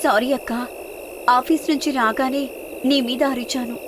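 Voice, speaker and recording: A woman's voice: high voice, fairly even intonation, a little slow, noisy background. A woman voicing a caring, responsible younger sister with an earnest, coaxing manner in a moral story. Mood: apologetic, gentle.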